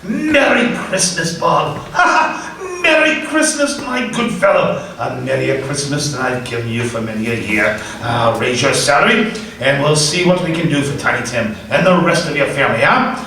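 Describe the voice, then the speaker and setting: An actor playing an old miser who has turned jolly and generous, in a live radio play. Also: male voice, a little high, animated, moderate pace. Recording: echoey room, far from the mic.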